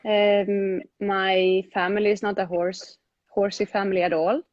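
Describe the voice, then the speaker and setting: A woman's voice: mid-range voice, flat delivery, measured pace, darkish tone, no room sound, lo-fi sound. A woman show jumper answering questions in a live online interview, speaking in a plain, conversational way.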